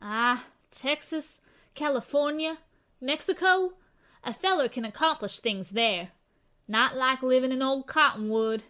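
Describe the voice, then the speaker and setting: Woman as a cocky, high, bright runaway frontier boy. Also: unhurried. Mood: defiant, hopeful. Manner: rustic, boastful, folksy drawling grammar. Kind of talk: old-time western radio drama acting.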